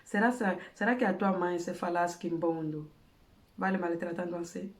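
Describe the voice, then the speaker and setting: A woman's voice: lowish voice, moderate pace, roomy sound. A woman from Angola with an Angolan Portuguese accent, giving earnest, emphatic advice in a monologue. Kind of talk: impassioned monologue. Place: home in Germany.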